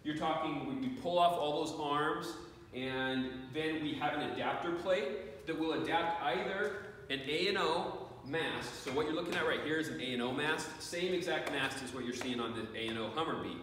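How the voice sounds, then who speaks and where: A man's voice: on the high side, normal speed, fairly bright tone, slight background noise. A man in his forties giving a rambling, plainspoken equipment review in a large farm shed, with lots of fillers and restarts. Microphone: camera at a distance. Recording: echoey shed, voice far from camera.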